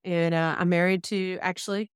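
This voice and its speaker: Southern woman from Georgia, 30s, low voice, dry close sound, easygoing podcast guest. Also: little pitch movement, normal speed, clear, resonant tone, dry recording. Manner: easygoing, laughs, self-deprecating. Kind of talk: casual interview chat.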